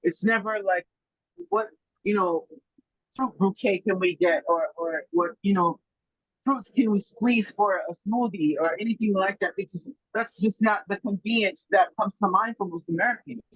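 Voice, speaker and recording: High-voiced husky man on a muffled line. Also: normal speed, a bit bright, dry recording. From Germany. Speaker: guest food grower. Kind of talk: casual podcast conversation.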